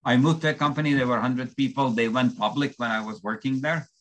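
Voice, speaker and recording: A man from Turkey, speaking English with a light accent that drifts between influences. His voice is bright and forward, and he tells his story in a measured, explanatory way, like a founder walking an interviewer through his path. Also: medium pitch, fairly even intonation. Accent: light shifting foreign accent. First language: Turkish. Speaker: startup founder, guest. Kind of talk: interview answers.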